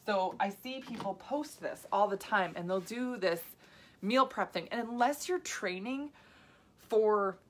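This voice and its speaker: A woman in her thirties talks to her viewers like a health coach on a live stream. She rambles in a chatty way, with restarts and side stories. Her voice sits at an ordinary middle pitch. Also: a little slow, a little hiss or hum.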